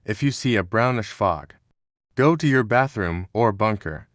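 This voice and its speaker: A man's voice: on the low side, lively intonation, a little slow, very noisy. A man playing an official announcer reading a formal emergency weather broadcast. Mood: formal warning.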